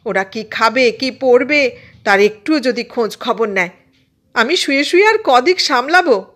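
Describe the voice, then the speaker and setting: A woman's voice: on the high side, animated, unhurried, a bit bright, pitched above the performer's own voice. A woman voicing an ailing, exasperated mother from a poor household, scolding and complaining in a storybook reading. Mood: shrill scolding.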